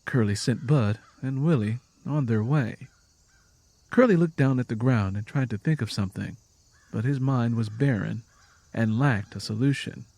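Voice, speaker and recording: A man's voice: medium pitch, normal speed, vocal fry, a little mellow, dry recording. A man reading scripted story narration in a solemn, dramatic manner.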